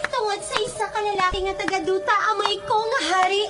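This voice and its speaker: Radio drama bird princess: girlish, Filipino, very high, sweet yet determined. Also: fairly even intonation, fairly quick. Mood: vengeful, resolute. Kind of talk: Philippine radio drama acting. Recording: faint music behind the voice.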